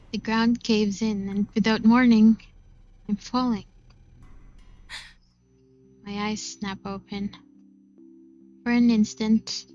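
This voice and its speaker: A woman reading a visual novel aloud in an expressive, unhurried first-person narration. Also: medium pitch, no room sound, muffled recording. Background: game music.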